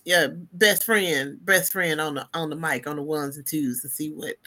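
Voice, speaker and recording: A woman's voice: deep voice, moderate pace, sharp tone. A woman hosting a podcast, chatty and laughing often in casual conversation. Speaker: podcast host. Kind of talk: casual chat.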